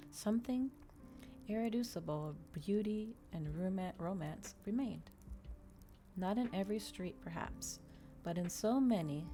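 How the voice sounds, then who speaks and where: A woman reading a novel aloud in a soft, measured ASMR style, close to the microphone. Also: on the low side, slight background noise.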